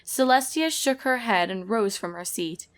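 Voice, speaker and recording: A woman's voice: on the high side, expressive, normal speed. Woman narrator reading a story expressively.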